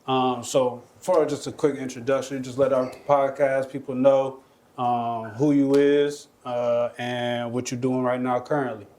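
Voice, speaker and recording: A man with a warm, mellow tone hosts a podcast in relaxed, slangy talk. His delivery stays easygoing and conversational as he leads into his questions. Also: mid-range voice, unhurried. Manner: loose, slangy, casual. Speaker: podcast host. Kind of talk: casual podcast chat.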